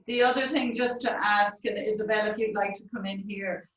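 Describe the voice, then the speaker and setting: A woman's voice: medium pitch, steady tone, moderate pace, a bit bright, a little hiss or hum. A woman interviewing, asking long searching questions with restarts. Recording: roomy, distant from the mic.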